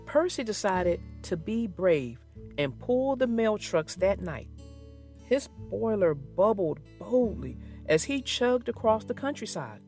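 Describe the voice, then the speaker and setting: A woman narrating a children's book aloud in a slow, expressive storytelling manner. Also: mid-range voice, faint music behind.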